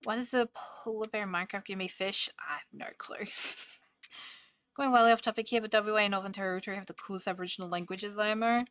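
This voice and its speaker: A woman's voice: medium pitch, steady tone, brisk, fairly bright tone, no echo. Chatty young woman streamer. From Australia. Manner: rambling, chatty, many "like" fillers. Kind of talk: casual chat while studying on stream.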